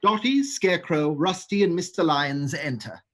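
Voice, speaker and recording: A man reading stage directions in a plain, matter-of-fact voice that sits slightly high for a man. He keeps it even and gives the action without drama. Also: moderate pace.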